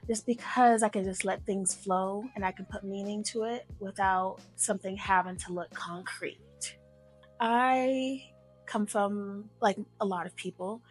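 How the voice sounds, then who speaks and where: A woman guest from Memphis whose first language is Arabic, speaking casually with fillers and restarts. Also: mid-range voice. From Memphis, Tennessee, US. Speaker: guest artist. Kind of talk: casual conversational interview.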